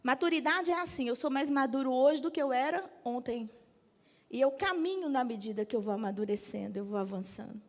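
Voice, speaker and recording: Bishop in her fifties with a Brazilian accent, high and lively, teasing the congregation in a reverberant church hall. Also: fairly quick. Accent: Brazilian Portuguese. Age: 50s. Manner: lively, jokes with the audience, asks for agreement. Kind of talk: church teaching talk.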